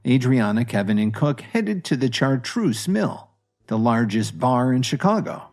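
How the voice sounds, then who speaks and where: Male audio drama narrator, lively pitch. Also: measured pace. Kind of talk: scripted story narration. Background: music.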